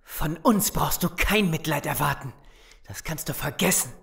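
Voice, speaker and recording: A hot-headed survivor in a zombie radio play, low in pitch. The delivery swings widely, sarcastic and confrontational, full of distrust. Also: female voice, expressive, moderate pace, gravelly, exaggerated voice. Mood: scornful, angry. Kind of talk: German audio drama acting.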